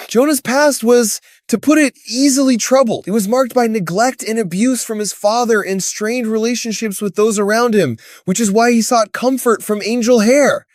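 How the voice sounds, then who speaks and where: A man's voice: high voice, no echo, clean studio sound. A man reading scripted explainer narration in a casual, wry way with joking asides.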